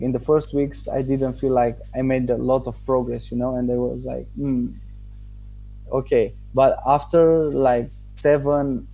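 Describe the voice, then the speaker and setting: Mellow-voiced man, halting and thoughtful. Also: mid-range voice, low-quality recording. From Romania. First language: Romanian. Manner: thoughtful, halting, with restarts and pauses. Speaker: student giving a testimonial. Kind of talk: casual interview answers.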